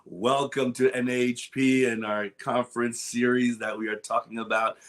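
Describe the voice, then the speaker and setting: A man's voice: mid-range voice, level tone, normal speed, a bit bright. Upbeat man hosting an interview. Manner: upbeat, emphatic, repeats phrases. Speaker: interview host. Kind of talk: enthusiastic conference promo interview.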